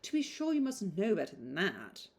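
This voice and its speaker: A woman's voice: fairly low pitch, wildly swooping pitch, normal speed, darkish tone, breathy edge, heavily acted. A woman voicing a gossipy, affected young woman from a period novel, chattering in exclamatory run-on sentences. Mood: coy, affected. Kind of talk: novel read aloud.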